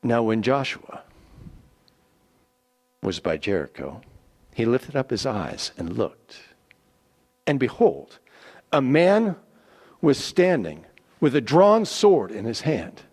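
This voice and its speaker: A man giving a dramatic scripted scripture narration, deliberate and theatrical, with weighty pauses. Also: mid-range voice, big pitch swings, a little mellow, breathy edge. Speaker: scripture reader. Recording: echoey hall with long reverb.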